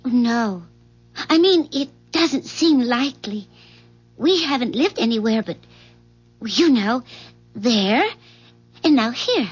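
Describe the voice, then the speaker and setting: Sweet neighbor woman, high voice, unhurried, tentative, wistful, evasive, vintage radio play. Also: lively intonation, husky, soft background music, exaggerated voice. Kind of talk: 1970s radio drama acting. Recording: dull, muffled 1970s radio broadcast recording.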